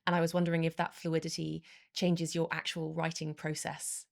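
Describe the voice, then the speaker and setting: A woman's voice: deep voice, completely flat delivery, moderate pace, gravelly. A woman from the audience asking a question tentatively, hedging and searching for her words.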